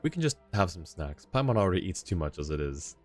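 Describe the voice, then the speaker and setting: Low-voiced male game streamer. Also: sing-song, fast. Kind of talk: casual game stream commentary, reading dialogue choices aloud. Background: game music.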